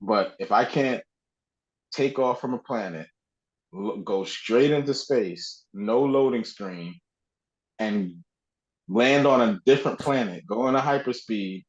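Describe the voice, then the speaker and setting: A man's voice: mid-range voice, slow pace, highs cut off. A man co-hosting a podcast, chatting informally and conversationally about video games. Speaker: podcast co-host. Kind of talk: casual video game discussion.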